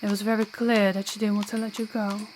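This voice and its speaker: A woman acts out a defiant young princess in an audio drama, her voice at an average pitch. She is principled and earnest, with formal, blunt phrasing. A faint noise sits behind the voice. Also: little pitch movement, a little fast, darkish tone, lightly acted, poor audio. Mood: earnest, resolute. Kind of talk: audio roleplay acting.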